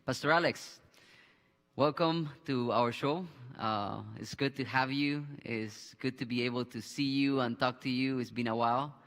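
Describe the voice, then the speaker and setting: A male pastor in his thirties leads a relaxed church discussion, speaking through a headset mic. He often restarts his sentences and fills gaps with fillers. His voice carries a long hall reverb. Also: medium pitch. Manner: halting, many restarts and fillers. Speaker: pastor and host. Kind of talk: conversational Bible discussion. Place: church studio with a screen behind.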